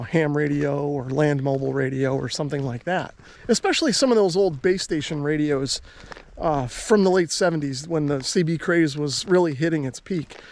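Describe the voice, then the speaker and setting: A man's voice: fairly high pitch, darkish tone. An American man talking casually to viewers as a hobbyist host, explaining his points in an easygoing, conversational way. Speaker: radio hobbyist and video host.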